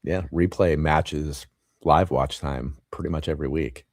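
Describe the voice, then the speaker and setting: A man hosting a casual podcast interview, laid-back and agreeable, quick to laugh. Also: extremely low pitch, steady tone, dry recording, studio quality. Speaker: podcast host.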